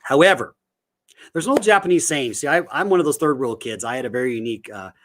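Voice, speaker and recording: A middle-aged man from the United States giving an energetic motivational talk over a video call, full of rhetorical questions. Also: mid-range voice, quick pace, a little hiss or hum. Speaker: guest speaker.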